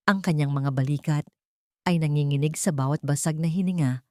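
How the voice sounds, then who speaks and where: A woman narrating a dramatic scripted story in a solemn, emotive, measured manner. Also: deep voice, darkish tone, no echo, studio quality.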